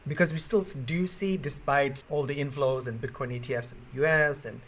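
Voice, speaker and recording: A man's voice: mid-range voice, fairly even intonation, fairly quick, a bit bright, slight background noise. A man from South Africa hosting an interview, asking questions in a polite, conversational way. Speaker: interview host.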